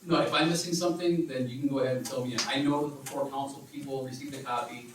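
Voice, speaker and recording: A man's voice: medium pitch, fairly even intonation, quick pace, noisy background. A man giving a careful, formal account at a city council meeting, speaking in hedged, lawyerly phrases. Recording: echoey council chamber, distant mic.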